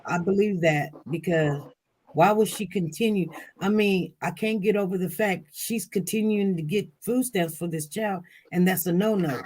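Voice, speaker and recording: A live-stream host chatting casually, talking loudly and speaking straight to the viewers in the chat. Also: medium pitch, moderate pace. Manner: chatty, addresses the chat directly, loud and animated. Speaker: livestream host.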